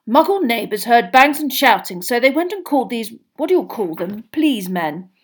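A woman voicing a flustered middle-aged male official, talking urgently and fast. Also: fairly high pitch, very animated, breathy edge.

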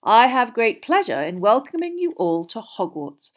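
A woman's voice: a little high, very animated, moderate pace, voice pushed up. A woman voicing a genial, dignified old male headmaster in an audiobook, speaking with formal, welcoming oratory. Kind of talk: acted dialogue in an audiobook reading.

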